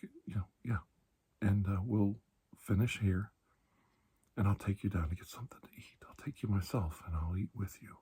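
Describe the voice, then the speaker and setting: A man speaking in a soft, breathy ASMR roleplay as a calm, kindly doctor, gentle and reassuring with unhurried pauses. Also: lowish voice. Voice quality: breathy, hushed. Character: calm, kindly male doctor soothing a frightened patient. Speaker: ASMR performer playing a doctor.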